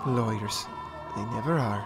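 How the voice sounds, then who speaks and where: An actor playing a mean, pious outlaw gunslinger with a taunting manner in a western audio drama. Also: male voice, lowish voice, normal speed, over music, natural voice. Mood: cold, taunting.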